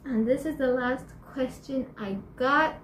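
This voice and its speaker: A teenage girl chatting in a bubbly way in a casual home vlog, laughing often and filling pauses with fillers. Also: high voice, slight background noise. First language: Spanish and English. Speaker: YouTuber. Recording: echoey room, faint ambience.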